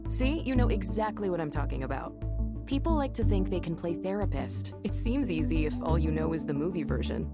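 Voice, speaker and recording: A self-assured woman supervisor in a visual novel game. Her voice is low for a woman, chatty and confident as she explains things. Loud music plays behind her. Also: expressive, brisk. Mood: confident, explaining. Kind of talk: naturalistic visual novel voice acting.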